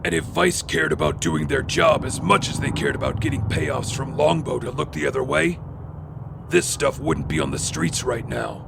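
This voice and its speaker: A man playing a grim, self-assured hooded vigilante in an audio drama, terse and stern with a gravelly voice. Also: fairly high pitch, moderate pace, background noise. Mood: urgent.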